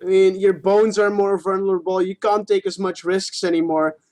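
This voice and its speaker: A man's voice: high voice, level tone, moderate pace, a little mellow. A man co-hosting a podcast, chatting casually about sports with informal, sweary phrasing. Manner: informal, sweary, hedging as he argues. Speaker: podcast co-host.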